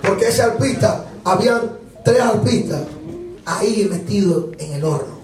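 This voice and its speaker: A man preaching a lively sermon with a Dominican accent, animated and joking with the congregation. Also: sits high, unhurried, faint music behind. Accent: Dominican Spanish. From Dominican Republic. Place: church hall. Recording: echoey hall from far off, congregation.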